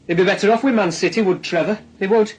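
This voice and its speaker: High-voiced, needling Northern English detective. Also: male voice, fast talker, husky. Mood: sly, teasing. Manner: insistent questioning with tag questions and dry sarcasm. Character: needling, sardonic male police detective grilling a suspect. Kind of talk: naturalistic radio drama dialogue.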